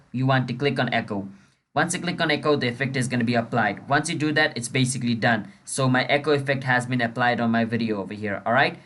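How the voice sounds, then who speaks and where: A man's voice: medium pitch, a bit bright. A man narrating a screen-recording tutorial in a chatty, informal way, speaking directly to viewers as the channel's host.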